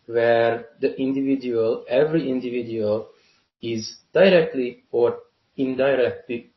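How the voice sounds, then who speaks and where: A man of twenty-one from Hungary with a Hungarian accent, giving deliberate, halting explanations as an interview guest and repeating phrases. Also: medium pitch. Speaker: interview guest, university student. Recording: roomy, muffled lo-fi call-like audio.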